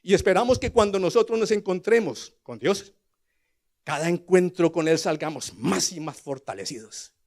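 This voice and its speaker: An older man with a Colombian accent preaches in a slightly high voice. He tells scripture as a dramatic story, dropping to quiet asides and rising to loud, emphatic declarations, often putting questions to his listeners. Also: lively intonation, normal speed. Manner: rhetorical questions to the congregation.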